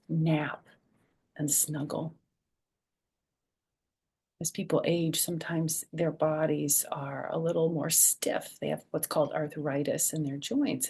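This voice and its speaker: Older female storyteller for children's picture-book read-aloud, slightly low voice, sing-song on the text, soft and chatty between lines. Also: vocal fry, husky. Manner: expressive, sing-song reading with soft, gentle asides. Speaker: storyteller, children's author and former schoolteacher.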